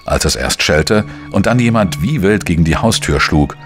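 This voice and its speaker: A deep-voiced weary journalist in a German crime radio play. He is calm, understated and dogged, both narrating and talking, with music at times underneath. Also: moderate pace. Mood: reflective narration.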